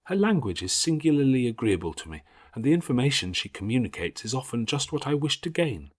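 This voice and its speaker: Man as a pious, patient schoolgirl, husky tone. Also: mid-range voice, big pitch swings, voice pushed up. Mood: mild, modest. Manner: measured, gently preaching, formal Victorian diction. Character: calm, pious, forgiving older schoolgirl, earnest and patient. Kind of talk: audiobook character acting.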